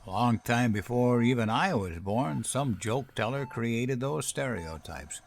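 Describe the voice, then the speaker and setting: An actor in an audio drama playing a nostalgic old grandfather, gently and wistfully telling his memories to his granddaughter. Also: mid-range voice, expressive, unhurried. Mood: patient, explaining.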